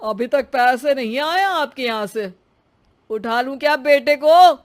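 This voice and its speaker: A woman's voice: high voice, lively intonation, measured pace, voice pushed up. A woman voicing a greedy, haughty father of the groom in a cartoon story, talking in a demanding and threatening way. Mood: angry, threatening.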